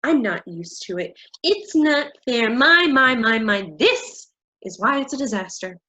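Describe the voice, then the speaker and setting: An actress in a staged play reading, playing a haughty, paranoid boss of a scheme with condescending theatrical delivery. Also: female voice, fairly high pitch, lively intonation, measured pace, pushed and bright, dull audio, heavily acted. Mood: scornful.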